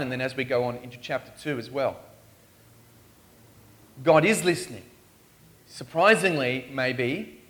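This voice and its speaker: A man's voice: on the high side, fairly bright tone. A man preaching a sermon in a church in Australia, earnest and pleading as he poses questions.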